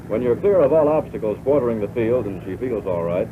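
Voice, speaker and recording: Man, American accent, 1940s instructional film narrator, measured delivery, thin narrow-band old soundtrack, clearly noisy. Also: mid-range voice, animated. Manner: measured, instructive, addressing the listener as pilot. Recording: tinny band-limited 1940s film soundtrack, loud noise.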